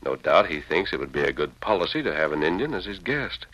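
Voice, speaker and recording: An actor playing a grave, authoritative Western lawman hero, speaking formally and with measure in a resonant American baritone, in radio drama style. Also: big pitch swings, fast, a bit bright, exaggerated voice. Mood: assured, earnest. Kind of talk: old-time radio western acting. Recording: dull, dry vintage radio recording.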